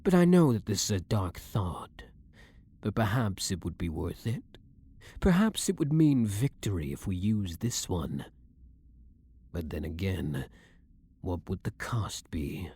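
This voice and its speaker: A man playing a blunt, dominant, brooding vampire hunter who is secretly a vampire, in a husky voice with measured, formal, theatrical phrasing for an ASMR roleplay. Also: low-pitched, big pitch swings, warm tone, exaggerated voice. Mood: somber, conflicted. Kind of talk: ASMR roleplay audio drama acting.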